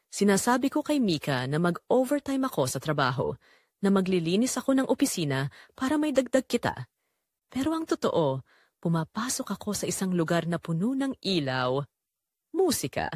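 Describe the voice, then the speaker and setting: A woman's voice: mid-range voice, no room sound, clean studio sound, close to an everyday voice. A woman playing a struggling single mother, weary but hopeful, narrating a confessional first-person audio drama to her listeners in an emotive way. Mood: ashamed, confessional.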